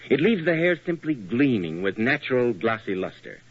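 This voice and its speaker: A male radio announcer delivering a 1940s commercial in a smooth, persuasive pitchman's way. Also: sing-song, moderate pace. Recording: dull lo-fi 1940s radio broadcast.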